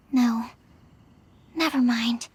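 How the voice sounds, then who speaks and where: A woman's voice: fairly high pitch, darkish tone, breathy edge, dry recording, heavily acted. A woman voicing a shy, earnest young alchemist in a video game, hesitant, polite and studious in the way she talks. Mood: shy, unsure. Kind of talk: video game character dialogue.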